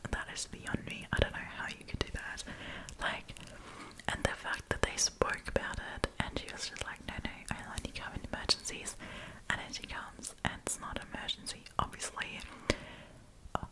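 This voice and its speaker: Woman whispering a chatty ASMR storytime. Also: rough recording. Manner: chatty, informal, rambling asides.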